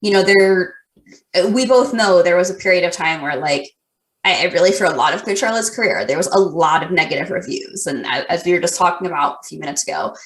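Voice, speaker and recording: A woman's voice: on the low side, fairly quick, reverberant, low-quality recording. A woman hosting a casual podcast interview, friendly and rambling, full of fillers and restarts. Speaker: podcast host.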